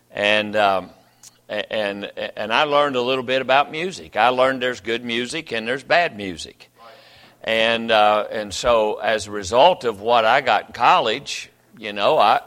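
A man's voice: medium pitch, fairly bright tone. Folksy preacher man in a ringing hall. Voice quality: folksy, rambling with many restarts. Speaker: pastor. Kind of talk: sermon. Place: church sanctuary.

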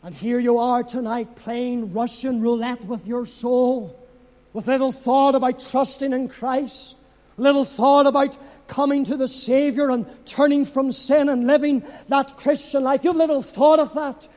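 Male preacher, slow and emphatic, hushed-to-thundering evangelistic delivery, long echo of a big hall. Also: very high voice, steady tone. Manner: solemn, emphatic, repeats phrases for effect; swings from hushed to loud, impassioned delivery. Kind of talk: fervent evangelistic sermon.

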